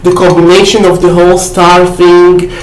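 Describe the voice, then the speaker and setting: A woman's voice: fairly low pitch, little pitch movement, normal speed, a little hiss or hum. A woman casually showing off a shopping haul on her vlog, chatty and full of fillers, often checking in with "okay?". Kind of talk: casual haul video chat. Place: indoor room at home. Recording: roomy echo.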